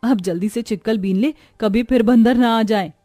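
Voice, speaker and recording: A woman voicing a poor young village sister, earnest and expressive, in an animated moral story. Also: on the high side, normal speed, no room sound, close to an everyday voice. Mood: amazed, playful.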